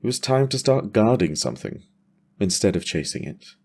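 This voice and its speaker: A man playing a battle-worn dragon rider and father in a fantasy audio drama, weary but hopeful, reflective and quietly wry. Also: lowish voice, very animated, moderate pace, vocal fry, breathy edge, voice pushed up, exaggerated voice. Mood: weary, resolute.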